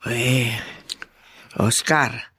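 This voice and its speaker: A woman's voice: a bass voice, fairly even intonation, very slow, fairly bright tone, husky, exaggerated voice. An actress playing a chatty, frank older woman in a Filipino radio drama.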